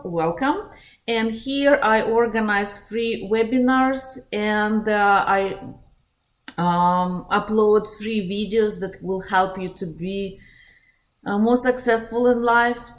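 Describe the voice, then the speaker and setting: A woman in her thirties from Russia with a Russian accent, hosting a live online psychology webinar in an earnest, direct way, with frequent "uh" fillers. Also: medium pitch.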